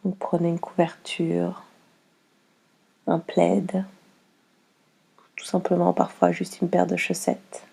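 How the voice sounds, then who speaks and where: A woman guides a relaxation session in a soothing, unhurried way. Her voice is heavily creaky and soft, with a husky breathiness. The delivery stays very even, leaving long pauses between short instructions. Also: lowish voice, poor audio. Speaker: yoga nidra guide.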